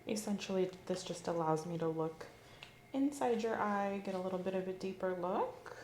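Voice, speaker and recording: A woman doing an ASMR role-play as a medical examiner, giving slow, gentle instructions that sometimes drop to a whisper. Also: medium pitch, echoey room, slight background noise. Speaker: ASMR creator playing an examiner.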